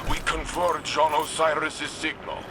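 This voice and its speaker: An actor playing a grave, loyal warrior in a video game, speaking formally and earnestly in short phrases. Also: male voice, on the high side, little pitch movement, unhurried, background noise, close to an everyday voice. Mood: commanding. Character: grave, loyal male warrior ally guiding a guardian. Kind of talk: video game radio dialogue. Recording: loud music behind the voice. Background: loud music, game sounds.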